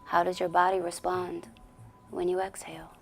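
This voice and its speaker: A woman leading a guided meditation in a soothing, deliberate way with long pauses, her soft voice often sinking toward a whisper. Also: on the low side, little pitch movement, creaky. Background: music.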